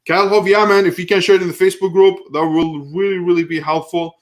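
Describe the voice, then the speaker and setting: A man in his thirties with a slightly higher-pitched voice. He hosts a live Q&A stream, answering viewers in a loose, rambling way, often restarting sentences as he thinks aloud. He grew up in Kuwait. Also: little pitch movement, fast talker. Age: 30s. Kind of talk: casual live stream Q&A, reading viewer comments.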